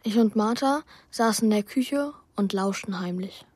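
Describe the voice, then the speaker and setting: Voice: mid-range voice, moderate pace, darkish tone, close to an everyday voice. A performer voicing an earnest, troubled young boy who tells his story in a children's radio play. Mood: confiding. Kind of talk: radio play narration and dialogue.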